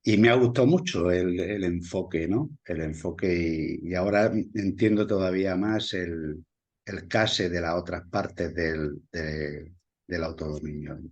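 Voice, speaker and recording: A man with a Murcian accent speaking in a casual group discussion, halting, with many fillers and restarts. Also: deep voice, husky, lo-fi sound. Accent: Murcian Spanish. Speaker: study group participant.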